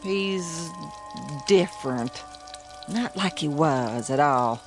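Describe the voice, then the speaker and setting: Folksy farm mother, slow and animated. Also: low voice, soft background music. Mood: fretful. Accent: rural Southern American. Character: worried, fussing rural farm mother. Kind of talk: radio drama acting.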